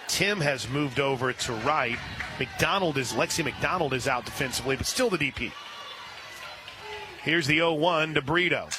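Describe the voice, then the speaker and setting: A man calling live softball play-by-play as a radio announcer, reading sponsor lines between pitches. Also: noisy background. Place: outdoor ballpark during a live game. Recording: loud outdoor stadium ambience. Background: loud stadium ambience.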